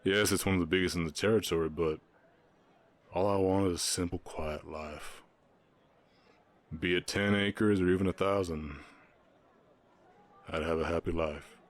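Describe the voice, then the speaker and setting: A man playing a gentle, reserved orc ranch hand with a Southern US accent, speaking haltingly and earnestly in an intimate Western romance roleplay. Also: low-pitched, steady tone, close to an everyday voice. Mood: reluctant, self-doubting.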